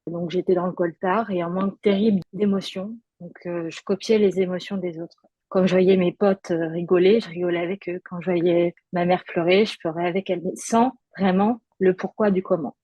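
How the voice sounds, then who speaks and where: A woman's voice: on the low side, steady tone, moderate pace, warm tone, no echo, muffled recording. A woman guest giving a personal testimony in conversation, hesitant, with many fillers and restarts.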